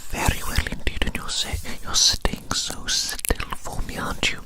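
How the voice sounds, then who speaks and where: A man whispering without voice in a gentle, soothing ASMR roleplay, softly repeating words and phrases. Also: measured pace. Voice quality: unvoiced whisper.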